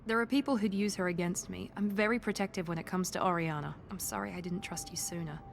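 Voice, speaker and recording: A woman's voice: lowish voice, fairly even intonation, fast, creaky, a little hiss or hum. An actress voicing a proud, guarded woman who is fiercely protective of her sister, speaking with controlled intensity in a video game. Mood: guarded, confiding.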